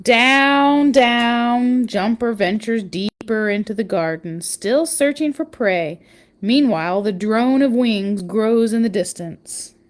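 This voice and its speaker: A woman reading a children's picture book aloud, with expressive storytelling emphasis and chatty asides. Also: fairly high pitch, takes it slowly. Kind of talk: animated read-aloud storytelling with casual asides.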